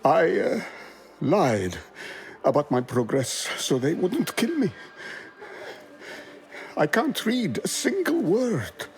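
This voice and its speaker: Frightened captive scholar: very high voice, halting and slow, pleading, game cutscene. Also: male voice, sing-song, creaky, exaggerated voice. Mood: frightened confession. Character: terrified, pleading male scholar, captive code-breaker. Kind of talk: video game character acting.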